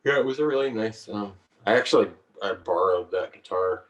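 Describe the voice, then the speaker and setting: Laid-back low-voiced man on a video call. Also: a little fast, echoey room, distant mic, highs cut off. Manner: hesitant, laid-back, laughs often. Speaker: singer-songwriter guest. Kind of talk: relaxed conversational interview.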